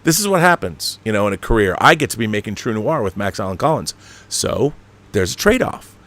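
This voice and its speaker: A man's voice: on the low side, sing-song, a little fast, a bit bright. A man hosting a casual livestream, rambling with emphatic, opinionated fan enthusiasm and the odd casual swear. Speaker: online show host. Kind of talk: casual livestream monologue and chat reading.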